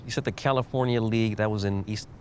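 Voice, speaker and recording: A man's voice: on the low side, fairly even intonation, fast talker, slight background noise. A man hosting a documentary, moving between casual interviewing and narration. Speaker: host and interviewer.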